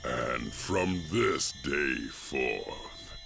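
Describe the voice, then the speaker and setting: A man playing a menacing, tyrannical alien warlord in a video game, with a grandiose, ominous delivery and a very deep gravelly voice. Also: animated, very slow, very noisy, heavily acted. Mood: menacing, grand. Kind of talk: video game villain acting. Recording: music behind.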